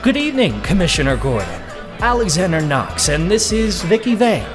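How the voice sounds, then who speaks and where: A man's voice: high voice, very animated, a little fast, over music, pitched above the performer's own voice. A man voicing a brash, pushy male newspaper reporter in an audio drama.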